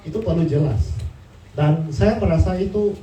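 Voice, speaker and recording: A man from Singapore, giving a talk in a language not his first, halting and searching for words. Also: fairly high pitch, mellow, soft background music. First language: not Indonesian. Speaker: guest speaker presenting slides. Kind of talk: prepared talk on political reform. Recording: echoey, distant mic with room noise.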